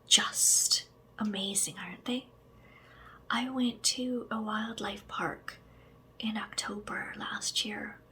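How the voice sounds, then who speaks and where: Slow whispering woman, soft ASMR affirmations. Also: mid-range voice, gravelly. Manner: chatty asides and gentle humor. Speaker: ASMR creator.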